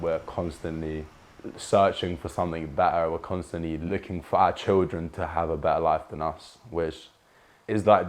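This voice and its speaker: A young man of twenty speaks in a very low register with a dark, relaxed tone. His delivery is earnest and heartfelt, like personal testimony for a documentary. There is faint noise behind him. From Jordan and the UK. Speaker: documentary subject telling his family's story.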